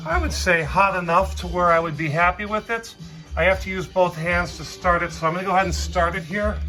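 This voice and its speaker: A man's voice: high-pitched, pushed and bright, poor audio. A man giving a casual hands-on equipment demo, explaining things informally and thinking aloud as he goes. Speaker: vintage audio hobbyist presenter. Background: music playing.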